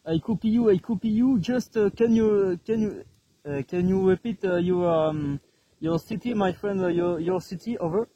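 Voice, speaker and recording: A man from France with a French accent, making radio contacts in a halting way and repeating his words. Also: sits high, highs cut off, background noise. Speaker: amateur CB radio operator.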